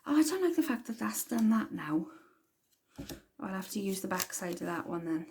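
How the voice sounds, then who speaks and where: A woman's voice: mid-range voice, big pitch swings, normal speed, a little mellow. A woman with a Welsh accent hosting a casual craft tutorial, chatting and thinking aloud as she works at her desk. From Wales. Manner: chatty, rambling, thinks aloud while working.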